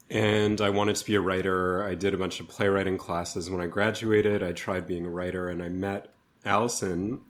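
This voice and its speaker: Deep-voiced, understated man. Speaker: playwright, podcast guest. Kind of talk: casual interview answers.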